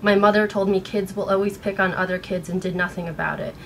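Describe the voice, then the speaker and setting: An American woman in her thirties speaking in an earnest, halting way, upset and shaken, as in a personal vlog. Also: medium pitch, fairly even intonation, poor audio. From United States. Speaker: vlogger. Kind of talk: personal video blog, partly reading aloud. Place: small bedroom at home. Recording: noticeable background room noise.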